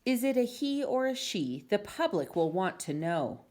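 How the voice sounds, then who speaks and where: A woman voicing a curious young newspaperman in a storybook, brisk and matter-of-fact. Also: mid-range voice, very animated, darkish tone, natural voice. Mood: inquisitive.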